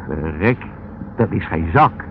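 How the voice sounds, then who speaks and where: An actor playing an excitable, wary police sidekick in a radio play, exclaiming in colloquial speech. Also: male voice, low voice, takes it slowly, gravelly, exaggerated voice. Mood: startled, wary. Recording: narrow, noisy old radio recording.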